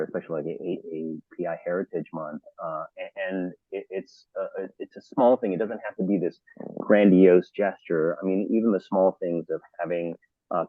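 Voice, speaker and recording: A man from the Los Angeles area speaking on a panel, halting with many fillers and restarts. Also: low voice, husky, no echo. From Los Angeles area, United States. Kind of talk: panel discussion. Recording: thin phone-like audio.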